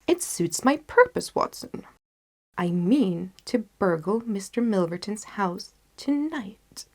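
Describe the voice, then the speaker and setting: A woman reading the part of a confident, determined gentleman detective in a storybook, with emphatic, articulate delivery. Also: medium pitch, big pitch swings, slow. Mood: amused, confident. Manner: emphatic, articulate, self-assured.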